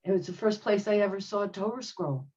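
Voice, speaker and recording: A woman in her sixties, a rabbi, telling her own story reflectively on a video call panel, with many pauses and ums. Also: medium pitch, dark tone, highs cut off. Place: home room.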